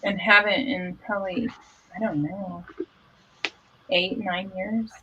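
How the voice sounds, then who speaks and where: A woman hosting a casual livestream from her home office, chatty and quick to laugh. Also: medium pitch, fairly even intonation, noisy background. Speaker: livestream host. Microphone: video call. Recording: dull lo-fi video call audio.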